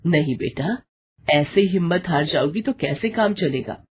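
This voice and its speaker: A woman voicing a caring, protective older mother-in-law, soothing and gently commanding, in a moral story. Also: on the low side, expressive, measured pace. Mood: reassuring.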